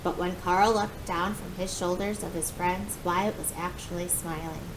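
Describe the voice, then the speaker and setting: A woman reading a children's story aloud in an animated, expressive way, acting out the dialogue. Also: moderate pace. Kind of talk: animated children's book narration. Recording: clear background noise with low rumble.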